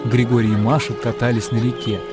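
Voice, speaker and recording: An actor as the narrator of a classic literary radio drama. He reads in a mid-pitched voice with a measured, lyrical delivery. Music plays clearly beneath him. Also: flat delivery, close to an everyday voice. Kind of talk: scripted narration in a radio play.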